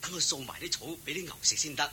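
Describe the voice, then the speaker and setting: A man with a Hong Kong Cantonese accent playing a simple, playful, eager young farm servant in a radio drama, chattering excitedly and stumbling over words. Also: a little high, rapid-fire. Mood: sheepish, apologetic. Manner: excitable, stammering, deferential chatter. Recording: hissy old radio drama recording.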